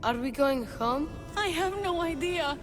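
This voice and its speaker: A woman's voice: high-pitched, animated, a little slow, faint music behind. A woman voicing an anxious, petulant little child in a video game. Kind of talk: dramatic video game dialogue.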